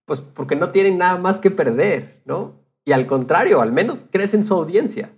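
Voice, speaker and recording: A man's voice: high voice, animated, normal speed. A man in casual podcast conversation, telling anecdotes with retold quotes and the odd English loanword.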